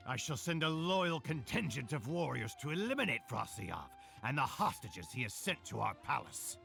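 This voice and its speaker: A man's voice: mid-range voice, sing-song, normal speed, vocal fry, a bit bright, soft background music, full character voice. An actor playing a stern, vengeful king in a video game's stage play, declaiming in a pompous, commanding way. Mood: scheming. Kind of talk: theatrical acting in a video game.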